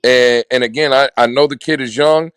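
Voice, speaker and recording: A man's voice: medium pitch, fairly even intonation, moderate pace, a bit bright, clear, resonant tone, no echo, clean studio sound. A man co-hosting a casual sports chat who argues his point and presses the others with questions. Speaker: podcast co-host.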